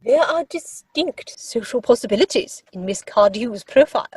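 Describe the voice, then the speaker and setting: An imperious older aristocratic aunt in a comic play reading. Her pitch swings widely through pompous, deliberate phrases. The voice is husky, heard dry and close. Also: lively intonation, a little mellow, no room sound, low-quality recording. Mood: calculating, impressed. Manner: pompous, drawn-out, deliberate delivery.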